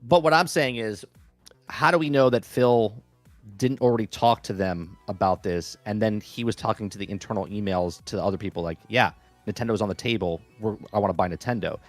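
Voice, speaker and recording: A man's voice: lowish voice, a little fast, no room sound, soft background music. A man guesting on a gaming podcast, talking casually with rhetorical questions and stop-start phrasing. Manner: hesitant, many fillers and restarts, rhetorical questions. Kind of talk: casual gaming news chat.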